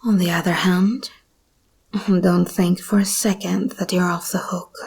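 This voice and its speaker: A woman voicing a seductive, menacing female monster hybrid in an ASMR roleplay, speaking in a breathy whisper with slow, deliberate, teasing delivery. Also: fairly low pitch, fairly even intonation, a little mellow, full character voice. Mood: wary, threatening.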